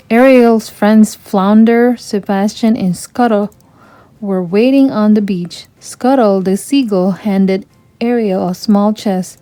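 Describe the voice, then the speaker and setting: A woman reads a children's story aloud as its narrator. Her tone is mellow and warm, at a middle pitch. The sound is dry, with very little room in it. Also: no echo.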